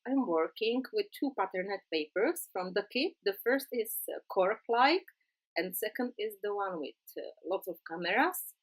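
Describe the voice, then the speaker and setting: Slow Czech-accented woman crafter. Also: mid-range voice, low-quality recording. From Czech Republic. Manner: halting, many "uh" pauses, simple non-native phrasing. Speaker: crafting tutorial host. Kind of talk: card-making voice-over tutorial.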